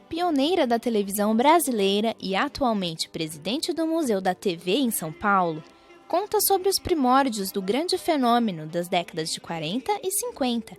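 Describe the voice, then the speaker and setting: Brazilian female narrator, higher register and expressive delivery, for scripted radio documentary. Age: young adult. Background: music.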